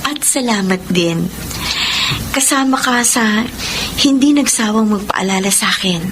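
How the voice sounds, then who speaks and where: A woman's voice: medium pitch, unhurried, noisy background, close to an everyday voice. An actress with a Filipino accent playing an earnest, emotional young working woman in a radio drama, a repentant and grateful daughter. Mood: calm, sincere.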